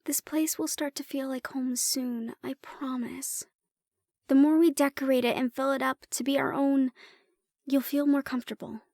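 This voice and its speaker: A woman playing an affectionate, teasing human partner of a dragon in an intimate roleplay audio drama, speaking softly and unhurriedly with pauses. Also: sits high, steady tone, no echo, clean studio sound. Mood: tender, reassuring.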